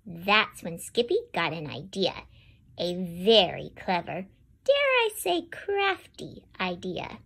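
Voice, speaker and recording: A woman host reading a picture book aloud to children and talking straight to her young listeners. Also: medium pitch. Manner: animated, talks directly to young listeners. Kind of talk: read-aloud storytelling for children.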